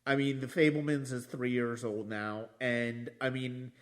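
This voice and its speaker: A man in a casual film discussion as a podcast host, speaking haltingly and often circling back to rephrase. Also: mid-range voice, little pitch movement.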